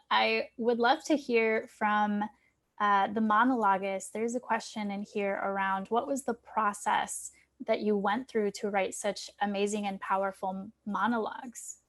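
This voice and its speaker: A woman with a mid-range voice moderates a live panel. She speaks unscripted, addressing participants and putting questions to them. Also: mid-range voice.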